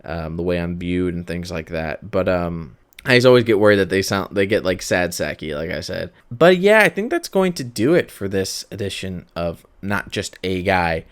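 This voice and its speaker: A man with a lowish, smooth and clear voice hosts a podcast on his own. He chats casually and rambles, often breaking off his own sentences for self-aware asides. The recording is close and dry, with no room sound. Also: sing-song, moderate pace, no echo. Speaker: podcast host. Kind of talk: casual solo podcast chat.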